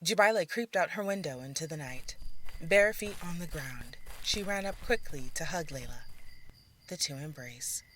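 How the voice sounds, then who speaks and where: A woman storyteller reading scripted fiction narration in a measured, theatrical manner. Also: low voice, animated, fairly bright tone.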